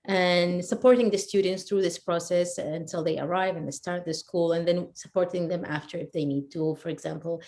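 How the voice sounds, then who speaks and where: Brief: Iraqi-born woman, 30s, low-pitched, relaxed and chatty with frequent laughs, as an interview guest. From Iraq. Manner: thoughtful, laughs easily, many fillers. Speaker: guest, education counselor and photographer. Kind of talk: casual interview chat.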